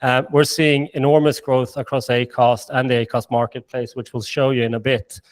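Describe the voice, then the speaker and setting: A man's voice: mid-range voice, little pitch movement, moderate pace. A man with a Swedish accent presenting a business webinar in an upbeat way, with many fillers and restarts. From Sweden and Finland. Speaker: managing director presenting.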